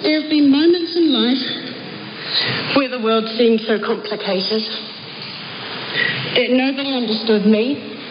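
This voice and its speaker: A woman's voice: a little high, slow, pauses often. A woman giving a speech as a member of parliament. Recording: echoey hall, muffled noisy shortwave relay.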